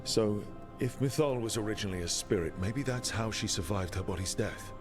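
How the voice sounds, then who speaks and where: A man's voice: lowish voice, a little fast, music in the background, close to an everyday voice. A man playing an earnest, plain-spoken adventurer who leads a band in a fantasy game. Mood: questioning. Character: earnest, questioning male adventurer, leader of a band.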